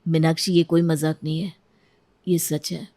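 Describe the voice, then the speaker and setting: A woman's voice: sits low, little pitch movement, moderate pace, warm tone, voice pushed down. A woman voicing a guilty, defensive husband who speaks curtly. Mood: flat. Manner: curt, tense and defensive.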